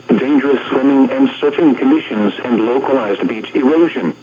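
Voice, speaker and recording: A man reading a scripted automated weather bulletin in a formal, measured, synthetic-sounding way, as a radio announcer. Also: mid-range voice, a little mellow. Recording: dull lo-fi radio broadcast with static noise.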